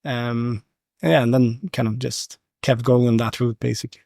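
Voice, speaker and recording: A man's voice: medium pitch, flat delivery, normal speed, creaky, warm tone, no room sound. A man from Sweden speaking casually as an interview guest in a studio, feeling his way through phrases in short pieces with repeated words. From Stockholm, Sweden. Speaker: podcast guest, agency founder. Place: podcast studio.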